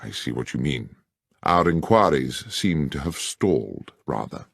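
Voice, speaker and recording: A man playing a detective's loyal gentleman companion with an English accent, courteous, earnest and measured. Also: very deep voice, expressive, gravelly, slightly rough, no echo, clean studio sound, exaggerated voice. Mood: resigned.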